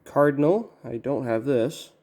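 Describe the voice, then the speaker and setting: A man giving casual, halting unboxing commentary as a collector, musing to himself in a low-key way. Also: lively intonation, darkish tone. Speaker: record collector presenting an unboxing.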